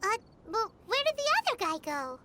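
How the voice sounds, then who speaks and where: A woman voicing a small, eager, curious childlike fairy companion with a squeaky voice in a video game. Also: big pitch swings, normal speed, heavy background noise. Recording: loud music under the voice.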